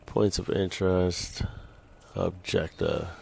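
Casual male gamer, tired, low creaky voice, slangy live commentary, faint noise behind him. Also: sing-song, takes it slowly, a little mellow. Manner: slangy, swearing, muttering to himself and chat. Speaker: video game streamer.

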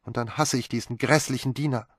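A man voicing a flippant, restless young woman who talks with quick, mocking sharpness in an audiobook. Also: medium pitch, fairly even intonation, husky, dry recording, studio quality, pitched above the performer's own voice. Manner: teasing, quick and sharp-tongued. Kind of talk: audiobook character voice.

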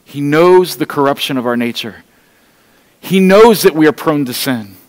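A man's voice: on the high side, expressive, moderate pace. A middle-aged man preaching a Sunday sermon from a church stage, earnest and pleading, talking straight to his congregation. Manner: walks the stage holding an open Bible. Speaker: pastor. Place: church stage, large room indoors. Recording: long reverb of a church hall.

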